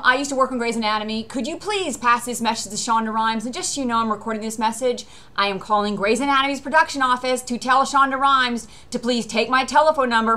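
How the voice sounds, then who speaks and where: A woman's voice: a little high, pushed and bright. An American woman giving an unscripted personal monologue in a rambling, aggrieved, insistent manner. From US East Coast. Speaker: actor recording a personal video.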